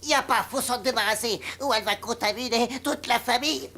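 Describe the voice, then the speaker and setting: A woman's voice: a little high, fairly quick, lightly acted. A woman voicing an earnest, gullible young boy in a cartoon, speaking in a matter-of-fact, reassuring way. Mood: urgent. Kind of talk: French animated cartoon acting. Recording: music bed under dialogue.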